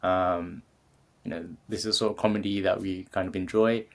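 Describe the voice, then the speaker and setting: A man in his thirties with a British accent speaks in a very low voice. He talks loosely as an interview guest, stopping and restarting often, with plenty of fillers along the way. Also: steady tone, moderate pace. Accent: British English. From England. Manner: hesitant, many fillers and restarts. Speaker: guest, creativity coach. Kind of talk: casual interview conversation.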